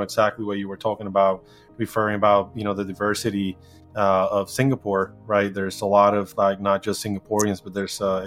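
A man hosting an interview, thanking his guest warmly and asking long questions full of fillers. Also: lowish voice. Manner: appreciative, with frequent fillers. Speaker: podcast host.

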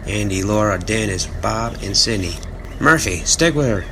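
A man's voice: lowish voice, animated, moderate pace, background noise. A man playing a curt, bossy armed team leader in an audio drama, impatient and commanding. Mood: brisk, commanding.

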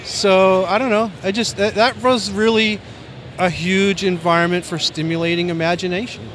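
Older american guy, 60s, soft highish voice, rambling casual interview chat, faint background noise. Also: fairly even intonation, normal speed. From Maine, United States. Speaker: comic book artist, interview guest. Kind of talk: casual, reminiscing interview talk. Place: busy convention floor. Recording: faint convention floor noise.

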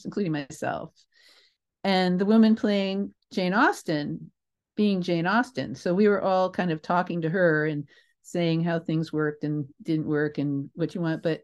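A woman's voice: low voice, darkish tone. A woman speaking as a guest in a conversational interview, reflective, wandering into asides and correcting herself as she goes. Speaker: guest, theatre writer and creator.

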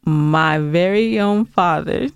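A woman chats casually as a podcast co-host in a slightly low, clear voice. She takes her time and has a playful, teasing way of talking. The recording is close and dry, with faint music underneath. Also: takes it slowly, no room sound.

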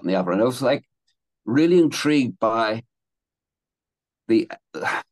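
A man's voice: lowish voice, a little mellow, no echo, rough recording. A man in his seventies from England, telling his life story as a guest in a casual interview, rambling with restarts and laughing between thoughts. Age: 70s.

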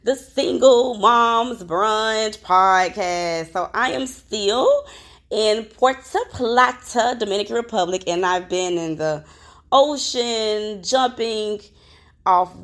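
A woman's voice: medium pitch, slow, fairly bright tone. A woman, hosting her own podcast in a chatty, upbeat way, with a slightly crackly, worn voice. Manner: chatty and upbeat, addresses listeners directly, many fillers. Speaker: podcast host. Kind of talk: casual solo podcast chat.

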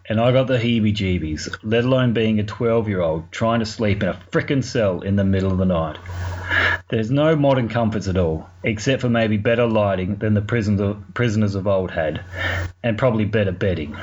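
A man's voice: lowish voice, a little fast, darkish tone. A man with an Australian accent telling a story informally for a podcast, in a colloquial, sweary, wry way. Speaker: podcast segment contributor. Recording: muffled lo-fi recording, clear background noise.

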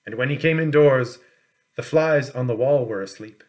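Man reading a fairy tale expressively. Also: medium pitch. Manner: measured, expressive storytelling. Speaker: audiobook reader. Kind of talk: scripted storybook narration.

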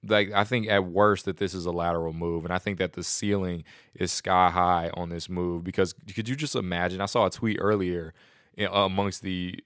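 A man hosting a casual solo podcast, excited and rambling, a little nasal and sniffly from a cold. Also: sits low, moderate pace, dry recording, clean studio sound. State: congested from a stomach bug. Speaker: podcast host.

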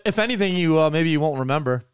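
A hungover young man in his twenties with a slightly hoarse throat, hosting a crude, joking podcast chat at home. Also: a little high, expressive, fast talker, over music. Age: 20s. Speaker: podcast host.

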